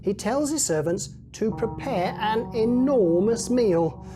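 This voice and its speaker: A woman's voice: on the high side, lively intonation, takes it slowly. A woman reading a children's Bible story aloud as a scripted narration for young listeners. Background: music.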